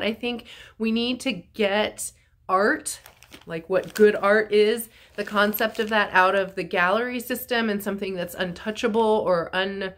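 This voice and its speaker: A woman's voice: medium pitch, fairly bright tone. A woman in her forties, congested and a bit nasal, chatting casually to a phone camera at home with lots of ums and likes. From North Carolina, USA. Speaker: artist vlogger. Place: small room at home, indoors. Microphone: phone camera at arm's length.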